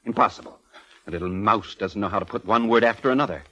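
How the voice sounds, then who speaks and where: An actor playing an eccentric, gleefully morbid ageing composer in a 1940s radio drama, with a flamboyant, florid manner. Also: male voice, on the low side, fast talker, sharp tone. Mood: excitable, fussy. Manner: flamboyant, effusive, chuckling, florid. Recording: muffled vintage 1940s radio recording.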